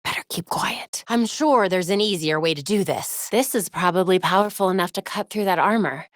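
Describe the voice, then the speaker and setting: A woman's voice: fairly low pitch, moderate pace, no room sound, studio quality, natural voice. A woman playing a young female superhero in a video game, witty, determined and brainy, with a wry conversational delivery. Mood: focused, investigative.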